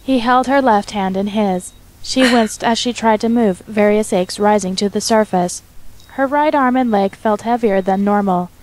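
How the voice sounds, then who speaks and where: A woman narrates a piece of fiction from a script. Her pitch is average for a woman. The delivery is a dramatic story reading. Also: moderate pace, darkish tone, poor audio.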